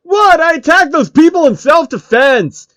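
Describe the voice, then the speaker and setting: A man voicing a loud, hot-tempered male gamer villain who rants, whines and swears, in a fan fiction story. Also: very high-pitched, very animated, moderate pace, no echo, studio quality, voice pushed up, heavily acted. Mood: outraged. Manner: whiny, ranting, sweary.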